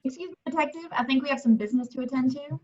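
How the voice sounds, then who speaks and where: A woman's voice: high voice, rapid-fire, darkish tone, close to an everyday voice. A woman playing a pushy, sassy TV reporter in an amateur horror table reading on a dull microphone. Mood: assertive.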